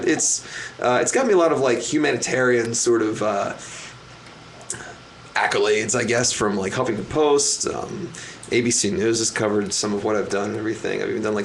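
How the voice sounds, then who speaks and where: Loud rambling man on a live show. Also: lowish voice, gravelly. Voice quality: loud, rambling with many restarts. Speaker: guest, magician. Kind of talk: casual interview chat. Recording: faint noise, live stream.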